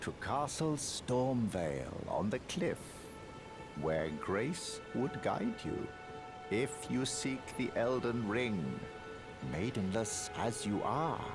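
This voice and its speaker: An actor playing a smug, condescending guide in a dark fantasy game, with a sardonic, mock-courteous theatrical drawl. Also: male voice, mid-range voice, big pitch swings, slow, over music. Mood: smug, instructive. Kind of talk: video game character dialogue.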